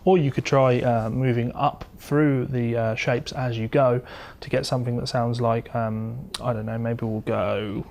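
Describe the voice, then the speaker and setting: A man's voice: medium pitch, steady tone, unhurried, vocal fry. A man giving an informal, conversational guitar lesson, explaining things as a teacher would to a student. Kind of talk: informal instructional talk with demonstrations.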